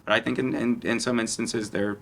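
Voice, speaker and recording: A man's voice: medium pitch, flat delivery, a little fast, creaky. A man speaking earnestly as a panelist in a conversational discussion, often repeating words as he goes.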